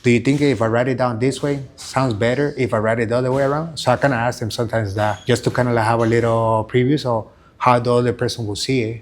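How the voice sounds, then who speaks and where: A man's voice: mid-range voice, steady tone, fast, hardly any pauses, slight background noise. A middle-aged man from Venezuela with a Venezuelan Spanish accent, a fighter and coach giving rambling interview answers in a gym. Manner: rambling, many fillers and restarts.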